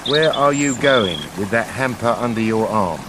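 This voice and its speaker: An actor playing a cheeky, sarcastic talking wolf villain in a comic radio play, with a blunt, mocking, delivery. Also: male voice, medium pitch, normal speed, noisy background, lightly acted. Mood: casual, sceptical.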